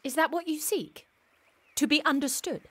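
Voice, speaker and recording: An actress playing a wise, wry, playful woman who gives gentle counsel in a period audio drama. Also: high-pitched, normal speed.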